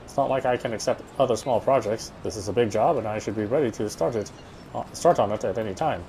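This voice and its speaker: A man's voice: fairly low pitch, quick pace, warm tone, a little hiss or hum, low-quality recording. A man reading visual novel narration aloud, sometimes stumbling and going back over phrases. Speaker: game streamer reading aloud.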